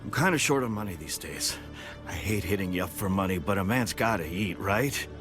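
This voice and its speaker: A broke middle-aged veteran who wheedles and stumbles over his words. His fairly low voice rises and falls a great deal. Music plays clearly behind him. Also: animated. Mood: wheedling. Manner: wheedling, halting, stammers. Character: broke retired male soldier, wheedling and down on his luck.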